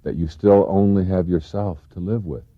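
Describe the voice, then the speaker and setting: Motivational speaker, male, low-pitched, earnest and reflective, emphasis rising and falling, dull dry tape recording. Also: fairly even intonation, normal speed, no room sound. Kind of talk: reflective self-help lecture.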